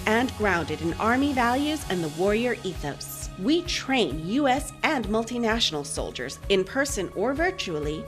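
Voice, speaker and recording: Female narrator for an institutional video; formal, animated intonation, music underneath. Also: a little high. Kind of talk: scripted corporate military video narration. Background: music bed.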